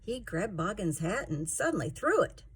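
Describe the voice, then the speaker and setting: A woman's voice: mid-range voice, big pitch swings, moderate pace, breathy edge. A woman reading a rhyming children's story aloud in a theatrical, emphatic way. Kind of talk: expressive rhyming storybook narration.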